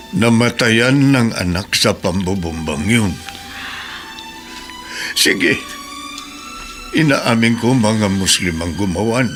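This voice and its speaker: A man voicing grieving, principled Damir. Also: mid-range voice. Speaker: radio drama actor. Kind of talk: Tagalog radio drama acting.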